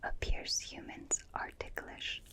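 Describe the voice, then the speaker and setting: A gentle alien examiner, played by a woman, whispers slowly and breathily, right up at the microphone. The phrasing is formal and clinical, full of quiet fascination with a tiny human, in a soothing ASMR roleplay style. The whisper has a bright, crisp edge. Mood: curious, soothing. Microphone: close binaural microphone.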